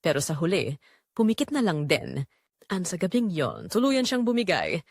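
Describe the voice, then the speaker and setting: A woman's voice: lowish voice, sing-song, normal speed, no echo, studio quality. A woman with a Filipino accent narrating a scripted dramatic story as a storyteller.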